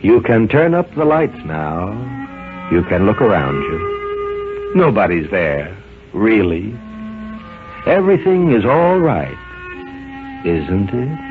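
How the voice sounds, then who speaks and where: A man's voice: fairly low pitch, sing-song, slow pace, pauses often. A man announcing a radio programme in the style of the 1950s. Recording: narrow-band old radio broadcast, music behind. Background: music.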